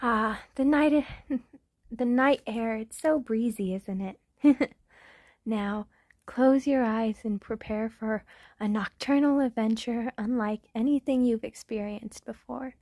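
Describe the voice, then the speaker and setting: A woman's voice: on the high side, slow pace, darkish tone, dry recording. A woman voicing a clumsy, goofy male vampire count in a comedic ASMR roleplay, giggly and theatrical. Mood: playful. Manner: giggly, theatrical, breaks into laughter.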